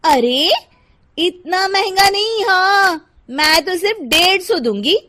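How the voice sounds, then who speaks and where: A woman's voice: extremely high pitch, animated, takes it slowly, heavy background noise, voice pushed up, exaggerated voice. A woman voicing a rude, stingy housewife in a cartoon story, scolding bluntly and haughtily. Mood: haggling, angry.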